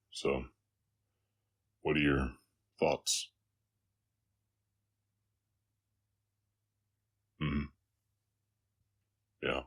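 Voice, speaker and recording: A man playing a gentle, weary orc cowboy with a slight Southern accent, speaking haltingly and reflectively to a listener in an intimate roleplay audio drama. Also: rumbling low, full character voice. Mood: tender, hesitant. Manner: halting, reflective, soft-hearted, pausing often.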